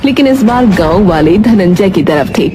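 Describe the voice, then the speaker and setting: A woman narrating a cartoon story in a steady, measured way. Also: medium pitch. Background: background music.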